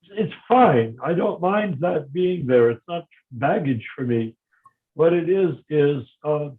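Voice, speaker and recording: Older man, high-ish voice, emphatic casual talk, restarts sentences, thin phone-like call audio. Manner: emphatic, repeats himself when starting sentences. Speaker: discussion guest. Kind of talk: casual discussion. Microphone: video call. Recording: tinny phone-like line.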